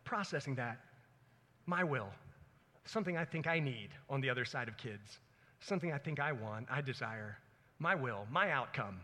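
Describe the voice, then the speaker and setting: A man's voice: medium pitch, lively intonation, normal speed, sharp tone. A man in his forties preaching a sermon in an earnest, conversational way, with personal stories. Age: 40s. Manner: earnest, conversational, dramatizes his own thoughts. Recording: echoey hall with long reverb.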